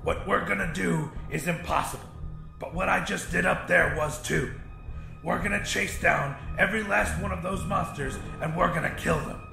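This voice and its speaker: A man's voice: sits high, normal speed, creaky, reverberant, over music, pitched above the performer's own voice. A man voicing a crazed, vengeful young rebel with a sneering, ranting delivery in a horror audiobook. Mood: rousing, defiant. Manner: taunting, ranting. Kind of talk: dramatic horror audiobook dialogue.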